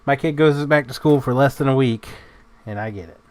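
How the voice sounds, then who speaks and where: A man's voice: medium pitch, a little fast. A man with a slightly nasal voice from a recent illness, chatting casually and telling joking stories while playing a video game with a friend. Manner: joking, animated storytelling. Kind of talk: casual chat while gaming.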